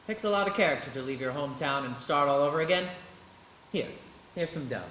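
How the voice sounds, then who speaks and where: A man's voice: on the high side, expressive, fast talker, fairly bright tone. A man playing a kindly, earnest small-town loan officer in a live radio play, recorded in an echoey hall. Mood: kindly, teasing. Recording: echoey hall, faint hiss.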